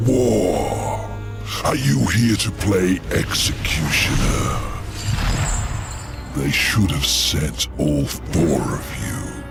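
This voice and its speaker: An actor playing a huge imprisoned demon lord, proud and scheming, in a theatrical, gravelly voice with sudden shifts from loud to hushed. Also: male voice, low-pitched, animated, drawn-out words, music in the background, full character voice. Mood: mocking. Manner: theatrical, sweeping swings between loud and hushed. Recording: game score under the voice.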